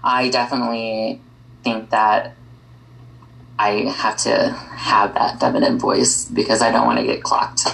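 A woman with an extremely low, gravelly voice chats as a podcast guest. She talks in an easygoing way, pausing on ums and likes as she thinks aloud. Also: flat delivery, a bit bright, low-quality recording. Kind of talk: casual conversation.

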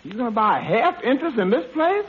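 Droll waiter, high lively voice. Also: male voice, quick pace, husky, full character voice. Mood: skeptical, dry. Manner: dry, folksy, questioning. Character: droll, skeptical male waiter, unimpressed by his boss. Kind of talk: 1940s radio comedy acting. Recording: muffled old radio, light hiss.

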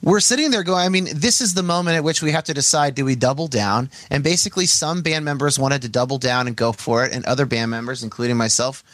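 A man's voice: fast, few pauses, dry recording. Chatty band guy telling his band's story. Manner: animated, chatty, pitch jumps when quoting. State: has a head cold. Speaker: guest band member. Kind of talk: casual storytelling.

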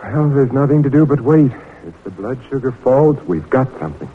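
An actor playing an earnest, determined young doctor in an old-time radio drama, sincere and plain-spoken. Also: male voice, medium pitch, lively intonation, normal speed, husky, phone-quality sound. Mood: hopeful, tense. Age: young adult. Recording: vintage radio recording with hiss.

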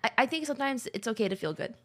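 A woman's voice: on the high side, big pitch swings, very fast, fairly bright tone, dry recording. A woman co-hosting a casual streamer podcast, bantering in slang and swearing with playful indignation.

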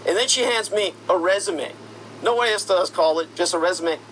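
A man's voice: high voice, normal speed, a bit bright, slight background noise, poor audio. A man delivering an indignant, accusatory monologue that builds into heated outbursts. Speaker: commentator. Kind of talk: monologue rant.

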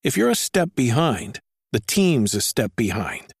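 A man's voice: medium pitch, sing-song, a little slow, gravelly, husky, no echo, clean studio sound. A man reading a public service ad in an earnest, persuasive scripted delivery. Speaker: ad announcer.

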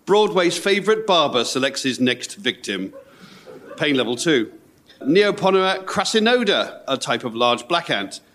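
Bloke reading out dramatically as a podcast panellist, slightly high pitched. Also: male voice, a bit bright.

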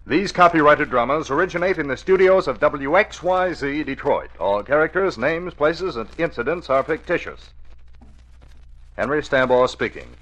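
A man narrating a 1940s radio drama as its announcer, in a dramatic, measured scripted delivery. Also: fairly high pitch, lively intonation, fairly bright tone, muffled recording.

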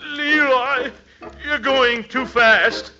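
A man's voice: very high voice, fairly even intonation, extremely slow, bright, forceful tone, soft background music, heavily acted. A man acting a pompous, bumbling, portly uncle in a 1940s radio comedy, chuckling and hemming and hawing. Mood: weary, winded. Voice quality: chuckling, blustery. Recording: muffled old radio broadcast recording.

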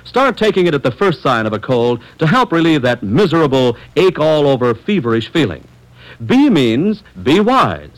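Male radio announcer, highly animated intonation, slightly high voice, folksy emphatic salesman delivery, classic radio style. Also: normal speed, poor audio. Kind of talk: old-time radio advertising pitch.

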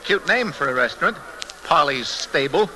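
An actor playing a wisecracking, easygoing young husband in 1940s radio mystery-comedy style, with a breezy, joking delivery on a noisy vintage broadcast recording. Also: male voice, fairly high pitch, expressive, moderate pace, over loud music, close to an everyday voice.

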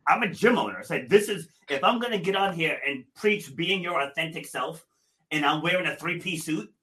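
A man hosting a casual podcast interview, energetic, often repeating words and laughing as he talks. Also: a little high, fairly quick. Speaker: podcast host, gym owner.

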